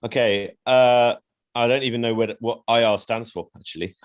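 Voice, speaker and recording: A man's voice: medium pitch, steady tone, moderate pace. A man giving hesitant, apologetic closing remarks on a video call, full of fillers and small laughs. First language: not Indonesian. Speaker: co-founder presenting certificates. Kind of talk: informal webinar remarks over video call.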